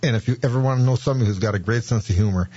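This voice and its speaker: A male interview host with a husky voice asks questions in a chatty, affirming way. The sound is dry and close, on a dull lo-fi recording. He nudges the guest along with quick interjections. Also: medium pitch, mellow, no echo.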